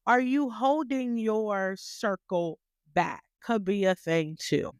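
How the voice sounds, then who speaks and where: A woman's voice: medium pitch, takes it slowly, clear, resonant tone, no echo, clean studio sound. A woman hosting a podcast, giving a motivational talk full of rhetorical questions and repeated phrases, with a voice she calls graceful yet stern. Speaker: podcast host.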